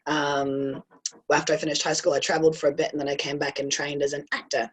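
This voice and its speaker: A man giving a relaxed, casual introduction of himself on a video call, with frequent ums. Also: a little high, fast talker, husky. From United States. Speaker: actor and director.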